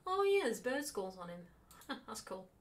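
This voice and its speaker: A woman streamer reading a visual novel aloud in a playful, expressive way, with casual asides. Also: a little high, normal speed. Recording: music behind the voice. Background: game music.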